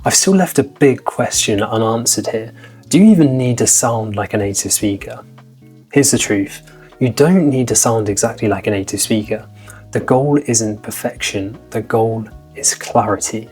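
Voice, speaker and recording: A man's voice: fairly low pitch, moderate pace, a little mellow, breathy edge, faint music behind. A man in his twenties, a language-learning vlogger, talking in an upbeat, motivational style. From Britain. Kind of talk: scripted vlog narration.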